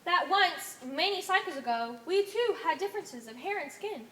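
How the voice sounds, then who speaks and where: A woman's voice: extremely high pitch, expressive, moderate pace, fairly bright tone, reverberant, a little hiss or hum. A woman playing an earnest, deferential alien in an amateur stage play, explaining things in a careful, theatrical way. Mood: cautious, earnest. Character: earnest, deferential female alien, member of a cell.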